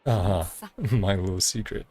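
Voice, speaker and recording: A man's voice: lowish voice, normal speed. A man casually commenting as he plays a video game, reading bits aloud and dropping in short, mumbled asides.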